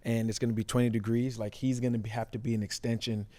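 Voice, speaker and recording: Fast-talking mellow man, casual sports analyst. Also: mid-range voice, flat delivery. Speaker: former player turned sports show analyst. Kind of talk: casual sports show discussion.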